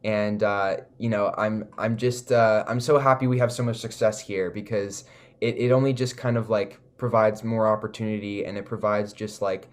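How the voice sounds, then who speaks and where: A man's voice: lowish voice, little pitch movement, normal speed. A young man with a Canadian accent chatting casually and earnestly as an interview guest, leaning on "like" and "you know". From Toronto, Canada. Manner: earnest, filler-heavy with frequent "like" and "you know". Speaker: recording artist, interview guest.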